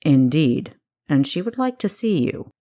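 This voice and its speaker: Woman as an aloof, low-voiced dungeon keeper man. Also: big pitch swings, measured pace, warm tone.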